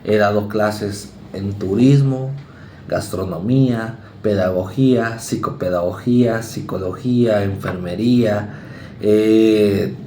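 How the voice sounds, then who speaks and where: A man's voice: on the low side, warm tone, slight background noise. Mexican man lecturing in an echoey room. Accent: Mexican Spanish. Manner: conversational, acts out students and parents in quick asides. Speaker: university lecturer. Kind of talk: recorded psychology lecture.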